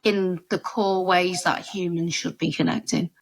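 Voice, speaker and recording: A woman's voice: lowish voice, steady tone, unhurried, creaky. A woman with a Scouse accent hosting a podcast interview, chatty and encouraging, often restarting her sentences. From Liverpool area. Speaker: podcast host.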